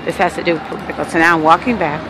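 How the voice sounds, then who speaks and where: Californian woman narrating over clear street noise. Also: sits low, fairly even intonation, rapid-fire. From Los Angeles, United States. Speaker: local resident documenting a noise problem. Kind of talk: casual handheld video narration. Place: mostly outdoors walking along apartment alley. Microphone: handheld phone. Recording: noisy outdoor phone recording, street noise.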